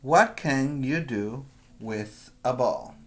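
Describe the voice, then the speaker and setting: A man teaching preschoolers, reading a picture book aloud with deliberate, instructional phrasing and short explanatory asides. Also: medium pitch, lively intonation, fairly bright tone. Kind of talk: read-aloud for young children with explanatory asides.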